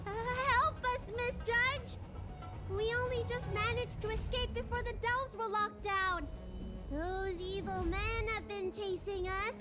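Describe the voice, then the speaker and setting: A woman's voice: very high-pitched, fairly even intonation, a little slow, loud music behind the voice. A woman voicing a spirited, cheeky little dragon girl healer in a video game. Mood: pleading. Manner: cheeky, feisty. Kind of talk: voiced game dialogue.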